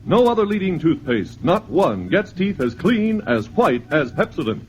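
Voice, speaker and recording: A man's voice: fairly high pitch, big pitch swings, normal speed, noisy background. A male radio announcer delivering a scripted advertisement in 1950s style. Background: music.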